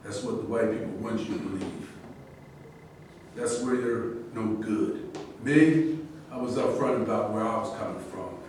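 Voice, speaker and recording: A man's voice: fairly low pitch, a little slow, a little mellow, natural voice. A proud, scornful boxing champion played by a man speaks out with conviction. The staged reading is heard from far off in a very echoey, slightly noisy room. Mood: defiant. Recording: distant, very echoey room with noise.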